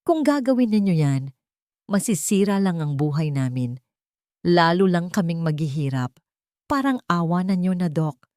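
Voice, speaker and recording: Lady narrator doing a distraught mom in her 50s, begging, tearful, raised pitch, dry sound. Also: animated, moderate pace, darkish tone, no room sound, close to an everyday voice. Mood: desperate tearful. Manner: tearful, imploring. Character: distraught, pleading mother in her fifties.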